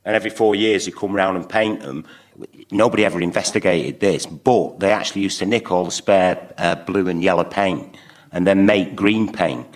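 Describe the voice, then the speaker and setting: Low-voiced British man bantering comically. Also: moderate pace. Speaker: panel show guest.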